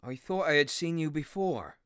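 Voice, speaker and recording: A man's voice: a little high, animated, moderate pace, fairly bright tone, clear, resonant tone. A man playing a wary, haunted Victorian railway signalman in a radio play, speaking in a terse, guarded way. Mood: wary, uneasy. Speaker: actor in an audio drama.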